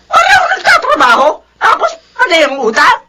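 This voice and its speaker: A woman's voice: very high voice, wildly swooping pitch, brisk, raised voice, heavy background noise, exaggerated voice. A woman playing an angry older creditor in a radio drama, blunt, sarcastic and scolding.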